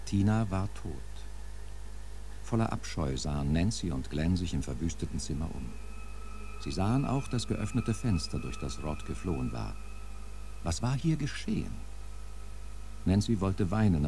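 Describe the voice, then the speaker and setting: An actor narrating a horror audio drama as a grave storyteller. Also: male voice, sits low, very animated, moderate pace, noisy background.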